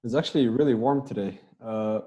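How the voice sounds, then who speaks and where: A young man, a soccer player, answering interview questions over a video call in a relaxed, rambling way, often repeating words as he talks. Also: mid-range voice, brisk. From United States.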